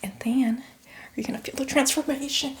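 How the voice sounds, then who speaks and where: A woman playing a boastful, playfully menacing female zombie in a chatty, teasing ASMR roleplay, speaking in a breathy, hushed whisper. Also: high voice, steady tone, moderate pace, a little hiss or hum, lightly acted. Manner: chatty, teasing, addresses the listener as victim.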